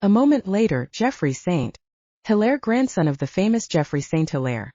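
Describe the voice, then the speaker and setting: Audiobook narrator, woman, low-lit warm tone, expressive intonation, scripted literary prose. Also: normal speed, dry recording. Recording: slightly dull, lo-fi close recording.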